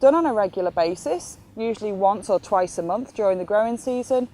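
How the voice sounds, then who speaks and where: Woman, instructor, scripted educational narration, animated intonation, mid pitch. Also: brisk.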